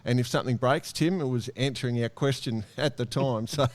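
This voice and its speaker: A man with an Australian accent hosting a podcast in a relaxed, jovial way among friends. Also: medium pitch, brisk. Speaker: podcast host.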